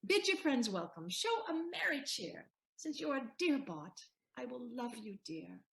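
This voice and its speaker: A woman's voice: sits high, big pitch swings, unhurried, vocal fry, a bit bright, heavily acted. An actress playing a commanding, devoted and generous lady in a Shakespearean audio play, theatrical and decisive. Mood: decisive, loving.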